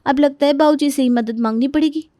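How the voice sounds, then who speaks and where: Young daughter-in-law woman acting, very high voice, sarcastic, grumbling, outspoken, moral story. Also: quick pace, mellow, dry recording, studio quality, lightly acted. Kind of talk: acted dialogue in a moral story.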